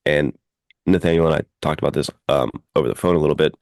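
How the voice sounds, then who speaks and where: A man's voice: a bass voice, fairly even intonation, fast talker, gravelly, darkish tone, no echo, studio quality. A man co-hosting a podcast in casual chat, informally and enthusiastically recommending things. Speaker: podcast co-host.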